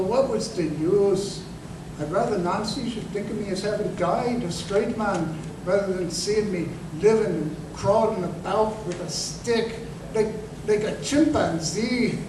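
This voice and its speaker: A man playing an aging, crippled, humble ex-soldier turned conjurer in a radio drama, with halting, rustic, impassioned speech. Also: high-pitched. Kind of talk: old-time radio drama acting. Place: live performance in a museum. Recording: roomy, distant, noisy live stage recording.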